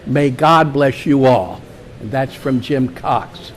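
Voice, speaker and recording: A man reading a written tribute aloud at a meeting in a measured, formal way. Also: medium pitch, heavy background noise. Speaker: club member presenting an award and reading a tribute.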